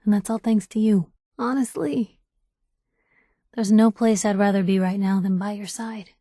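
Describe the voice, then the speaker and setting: A woman voicing a sharp-witted, idealistic young female reporter companion in a video game, wry and conversational. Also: mid-range voice, steady tone, moderate pace, dry recording, studio quality, natural voice. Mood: loving, happy.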